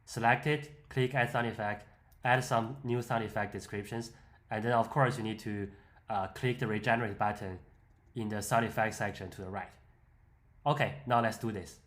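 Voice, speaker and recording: A man presents a software tutorial in a fairly low voice. He explains the screen demo step by step in an instructional way. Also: steady tone, moderate pace.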